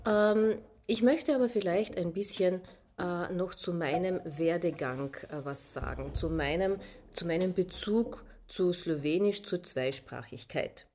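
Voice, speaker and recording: A Carinthian woman. Her voice is mellow and sits a little low. She talks thoughtfully, pausing and restarting often. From Carinthia, Austria. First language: Slovene. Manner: thoughtful, many fillers and restarts. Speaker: teacher, guest. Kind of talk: personal account in interview.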